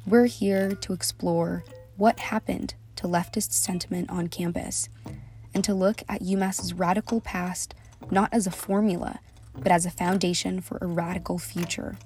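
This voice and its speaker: A woman host reads a scripted introduction with measured care. Her voice sits low for a woman and stays flat in pitch. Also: soft background music. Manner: even, measured delivery. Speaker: podcast host. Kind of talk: scripted narration.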